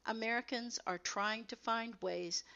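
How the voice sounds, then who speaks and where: A woman reading scripted educational text as a narrator, in a measured, carefully enunciated way. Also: a little high, creaky, sharp tone, lo-fi sound.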